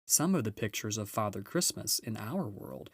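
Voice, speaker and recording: Low-voiced man reading a storybook, dry sound. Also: animated, normal speed, husky, dry recording. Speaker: audiobook reader. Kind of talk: children's storybook narration.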